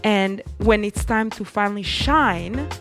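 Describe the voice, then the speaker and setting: A woman's voice: medium pitch, a little slow, music in the background. A woman from Rwanda with a Rwandan accent, telling her personal story as an interview guest in an earnest, reflective way.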